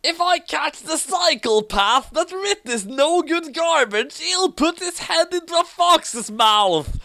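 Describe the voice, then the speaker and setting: A man's voice: very high voice, animated, slow pace, gravelly, sharp tone, no echo, clean studio sound, voice pushed up, exaggerated voice. A man voicing a melodramatic, ranting, dim-witted young male villain in an amateur comedic story reading, with theatrical exclamations. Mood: furious.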